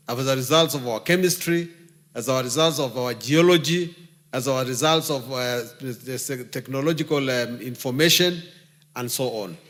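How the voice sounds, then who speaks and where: A Kenyan man, a member of parliament, giving a measured debate speech, pausing often on fillers, in a parliament chamber. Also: mid-range voice, fairly bright tone.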